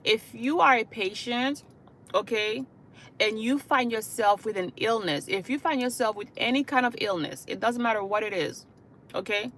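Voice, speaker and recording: Earnest higher-voiced woman from Haiti. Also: normal speed, a bit bright, a little hiss or hum. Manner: earnest, repetitive, many "okay?" and "you know". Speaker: hospice caregiver and channel host. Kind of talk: livestream talk to viewers.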